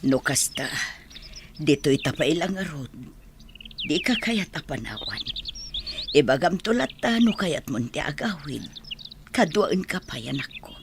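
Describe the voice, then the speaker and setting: A woman with an Ilocano accent playing a gentle, solemn mother comforting a grown son in a Filipino radio drama. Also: low voice, very animated, measured pace, pauses often, background noise, exaggerated voice. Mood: gently concerned. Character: consoling, protective parent of a grown widowed son.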